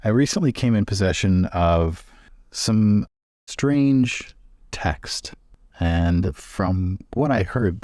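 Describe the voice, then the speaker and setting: A man voicing a polite, scholarly male traveler in a tabletop game, speaking in a measured, courteous way. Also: lowish voice, darkish tone, dry recording, studio quality, voice pushed up. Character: polite scholarly male traveler fibbing carefully to get help.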